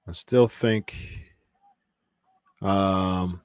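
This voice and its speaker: A man's voice: low-pitched, fairly even intonation, drawn-out words, a little mellow, clear, resonant tone, no echo. A man hosting a live watch-party stream, casually chatting and reading viewer comments aloud with lots of fillers. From Ohio, USA.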